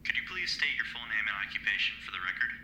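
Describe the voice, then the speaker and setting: A man acts out a formal, unruffled interviewer from an audio drama. His voice is lowish and very crisp-edged, with measured, coolly insistent politeness. The recording is roomy, with faint noise. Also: animated, pushed and bright. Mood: cool, polite.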